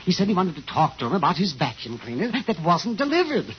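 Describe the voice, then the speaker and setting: A flustered, officious male manager in a 1950s radio drama. He speaks high with animated swings, fussing and stammering, on a muffled, noisy old recording. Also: quick pace. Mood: nervous, flustered. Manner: fussy, indignant, stammering.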